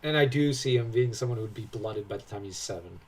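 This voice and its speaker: A man's voice: on the low side, quick pace, darkish tone, husky, recorded from a distance. A man giving casual analytical commentary as a co-host, explaining his opinions.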